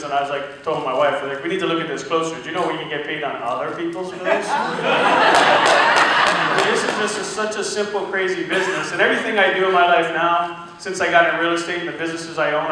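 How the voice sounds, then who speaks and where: A man giving a casual testimonial speech to a room at a business event, telling his story with quoted dialogue. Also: fairly high pitch. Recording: echoey room from far off, faint noise.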